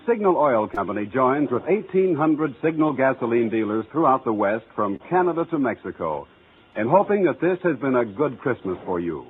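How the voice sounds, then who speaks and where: Solemn 1940s radio announcer, thin old recording. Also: male voice, mid-range voice. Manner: solemn, earnest, oratorical. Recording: narrow, faintly noisy vintage radio recording.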